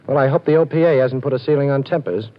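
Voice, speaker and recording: An actor playing a cool, wisecracking ex-con detective in a 1940s radio drama, talking in quick, breezy wisecracks. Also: male voice, medium pitch, close to an everyday voice. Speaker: lead detective. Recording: dull lo-fi old radio with faint hiss.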